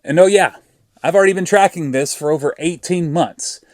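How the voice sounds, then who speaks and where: Male presenter, Arkansas drawl, brisk upbeat read of facts, dry close mic. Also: a little high, darkish tone, dry recording. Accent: Arkansas Southern US. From Arkansas, USA. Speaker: guest presenter. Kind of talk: scripted EV news update.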